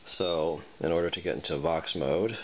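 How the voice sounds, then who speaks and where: A man's voice: sits low, steady tone, normal speed. A man giving a casual product demonstration, thinking aloud in a tentative way as he fiddles. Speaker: product reviewer. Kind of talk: casual unboxing and demonstration talk. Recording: dull lo-fi recording, noisy with clicks and beeps.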